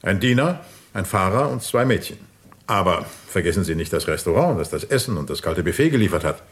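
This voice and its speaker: Wealthy father, middle-aged, deep voice, genteel, polite, hesitant, flustered, radio crime drama. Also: lively intonation, brisk. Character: flustered, well-to-do father hosting a grand party.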